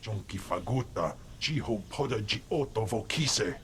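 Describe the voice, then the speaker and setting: Low-voiced brusque alien. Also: male voice, lively intonation, moderate pace, a bit bright, a little hiss or hum. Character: brusque male alien speaking an invented tongue. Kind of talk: video game dialogue in an invented alien language.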